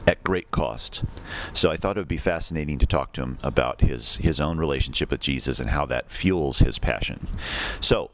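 Male podcast interviewer, low register, halting conversational delivery, slight background noise. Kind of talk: conversational spoken introduction and interview.

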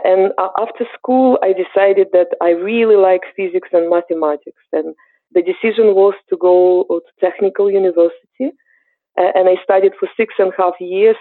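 Ukrainian woman in her forties, podcast guest, halting and thoughtful, heard over a thin, dry phone line. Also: on the low side, no echo. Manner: thoughtful, many hesitations. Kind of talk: podcast interview answers.

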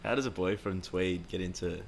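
A man's voice: low voice, moderate pace, creaky, a little hiss or hum. An Australian man hosting a podcast, asking questions in a blokey, joking way and swearing casually. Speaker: podcast host.

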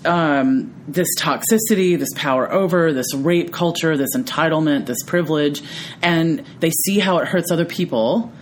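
A woman with a notably deep, low voice talks through ideas in a relaxed podcast chat. She thinks out loud, keeps tagging her points with "right?", and breaks into easy laughter. Faint background noise sits under her voice. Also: normal speed. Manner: tags thoughts with frequent "right?"; laughs easily, animated when amused. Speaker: podcast co-host. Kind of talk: reflective casual conversation.

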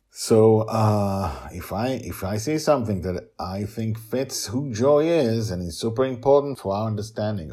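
A middle-aged man hosting his own story podcast, talking personally with dramatic emphasis and a husky voice. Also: deep voice. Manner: animated, dramatic emphasis, chuckles while talking. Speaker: podcast host, author and storyteller. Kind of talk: personal commentary and scripted storytelling.

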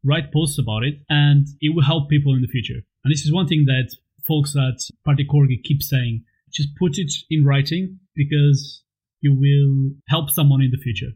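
A man's voice: a little high, moderate pace, breathy edge, low-quality recording. A man from Portugal speaking English with a Portuguese accent, hosting a casual interview with easy laughs and short encouraging replies. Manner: relaxed, laughs easily, brief encouraging replies.

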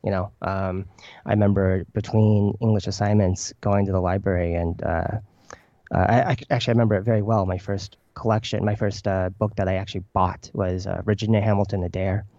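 A low, gravelly male voice with a dark, mellow tone, answering slowly with frequent pauses and restarts. His first language was Chinese. The sound is dry and a little dull, like lo-fi remote audio. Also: level tone, no room sound. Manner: hesitant, many fillers and restarts. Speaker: poet guest. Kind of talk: reflective interview answers.

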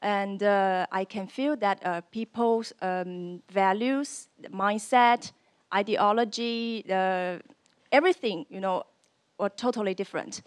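A woman's voice: mid-range voice, takes it slowly, clean tone. A young woman from China with a Chinese accent, asking an unscripted audience question in hesitant, piecemeal phrases. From Shanghai, China. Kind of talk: unscripted question at a public discussion.

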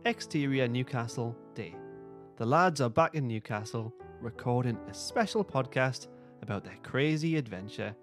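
A man's voice: medium pitch, lively intonation, normal speed, faint music behind. A man hosting a podcast, reading script narration aloud in an amused way. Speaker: podcast host.

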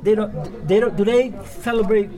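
Casual on-the-spot interviewer, average pitch, crowd noise behind. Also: steady tone, normal speed. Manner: friendly prompting, short backchannels.

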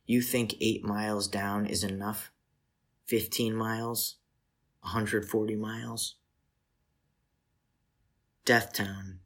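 A man giving a literary poetry reading in a measured, solemn manner, with deliberate pauses between short phrases. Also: on the low side, flat delivery, fairly bright tone. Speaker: poetry reader.

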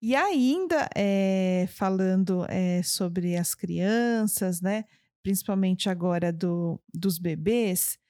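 A woman's voice: medium pitch, takes it slowly, dark tone, dry recording. Brazilian woman host with a dry sound. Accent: Brazilian Portuguese. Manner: conversational, sums up answers, many fillers. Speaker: podcast host. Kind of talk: podcast interview questions.

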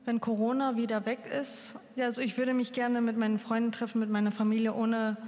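A young woman in her twenties speaks in simple, plain sentences. Her voice is higher and very flat in melody. A long reverb follows it. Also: a little fast. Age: 20s. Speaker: workshop participant. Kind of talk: personal statement.

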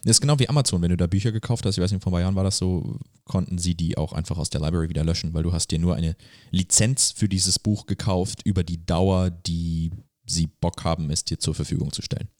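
A man's voice: sits low, quick pace, darkish tone, no room sound, studio quality. A man co-hosting a podcast in casual, informal chat, dropping in English slang. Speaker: podcast co-host.

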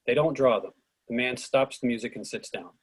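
Husky man reading stage directions. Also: medium pitch, a little fast. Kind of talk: scripted narration.

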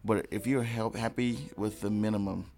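Low-voiced man, easygoing podcast host. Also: fairly even intonation, normal speed, darkish tone, soft background music. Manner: agreeable, frequent affirmations and fillers. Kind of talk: casual podcast conversation.

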